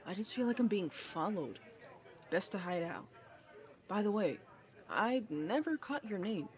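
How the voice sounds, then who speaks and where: Courteous, guarded gentleman in a tavern voice-acted. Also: high-pitched, lively intonation, measured pace. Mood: guarded, polite. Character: male company boss hiding in a tavern, courteous, guarded. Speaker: voice actor in an audio roleplay. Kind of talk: fantasy audio drama acting.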